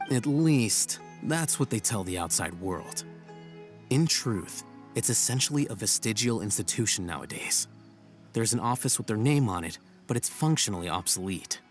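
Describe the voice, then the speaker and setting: An actor playing a composed, aloof scholar in a video game, speaking in a measured, formal and dryly analytical way. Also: male voice, on the low side, close to an everyday voice. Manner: measured, dry, formal, matter-of-fact. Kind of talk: video game voice acting, expository dialogue. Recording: music behind the voice. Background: game music.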